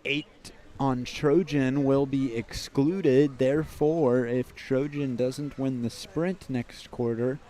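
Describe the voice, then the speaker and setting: A man's voice: mid-range voice, steady tone, slow pace, mellow. Male sports play-by-play commentator. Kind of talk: play-by-play water polo commentary.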